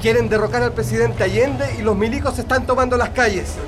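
An actor playing an urgent, commanding Chilean union comrade in a radio drama. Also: male voice, extremely high pitch, steady tone, a little fast, over music. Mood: urgent, alarmed.

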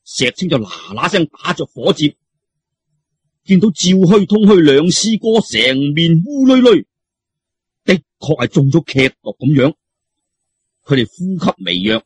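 Male Cantonese storyteller, very raspy, higher-set voice, colloquial audiobook delivery. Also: no echo. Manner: colloquial, interjecting, addresses listeners. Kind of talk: animated Cantonese audiobook storytelling of martial arts fiction.

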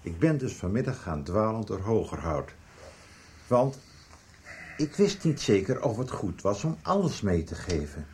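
A man's voice: fairly low pitch, very animated, unhurried, a little mellow, a little hiss or hum. A man playing an earnest, reproachful brother in a Dutch radio soap. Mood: earnest, confronting. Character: earnest, reproachful brother confronting his stubborn sibling. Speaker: radio drama actor.

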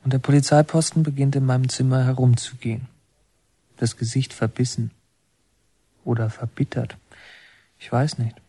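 An actor playing a brooding young man who narrates his memories in a reflective, deliberate, literary radio drama style. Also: medium pitch, little pitch movement, mellow, husky, no room sound, lightly acted. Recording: prominent music under the voice. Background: music.

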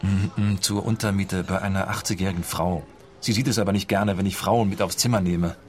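An actor playing a naive, hapless, broke young detective in a radio play, hesitant in manner. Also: male voice, deep voice, fairly even intonation, fairly quick, lightly acted. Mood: nervous, eager.

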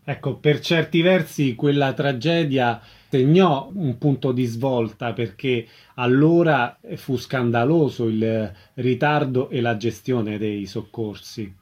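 A man interviewing a guest on the radio, prompting in a measured way. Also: medium pitch, a little mellow. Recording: slightly dull, lo-fi radio sound.

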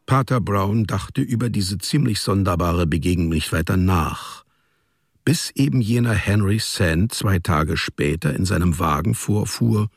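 A male storyteller narrates a radio drama in a deep, creaky voice. His delivery is measured and deliberate, like scripted literature read aloud. The recording is close and dry. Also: no echo, faint music behind.

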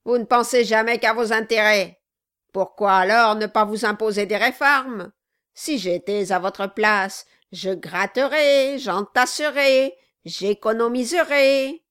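Lady doing a nasty little dwarf man from a storybook, loud, bossy, mocking, sneery. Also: on the high side, expressive, slow, clear, resonant tone. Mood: scornful bullying. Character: malicious, bullying, sneering male dwarf. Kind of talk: dramatized audiobook reading.

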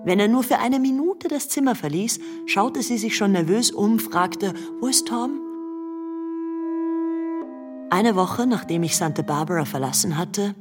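An actress playing a cool, knowing young society woman, confiding a story in measured tones in a German radio play. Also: on the low side, natural voice.

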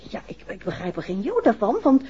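A detective's inquisitive middle-aged wife in a vintage radio drama. Her voice is a little husky, with lively rises and falls and a chatty manner. The recording is muffled. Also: on the high side, fairly quick, full character voice. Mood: chatty, puzzled. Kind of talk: 1960s Dutch radio play acting.

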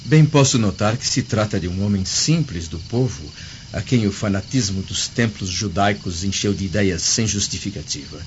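Man playing a proud, low-voiced Roman senator. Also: moderate pace, natural voice. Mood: dismissive. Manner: imperious, formal. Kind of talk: radio drama acting. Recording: dull lo-fi audio with faint noise.

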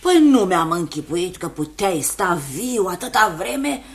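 An actress playing a sly, chummy old opium den keeper in a radio play, wheedling with folksy endearments and prodding questions. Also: female voice, lowish voice, very animated. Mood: prying, coaxing. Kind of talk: radio theatre acting.

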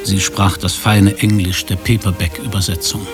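A man's voice: deep voice, level tone, normal speed, music in the background. A man narrating a scripted radio drama as storyteller.

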